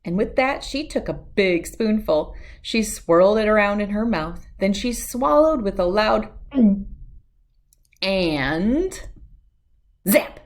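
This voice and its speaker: A woman reading a children's story aloud as narrator, with expressive, dramatic and deliberate phrasing. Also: medium pitch.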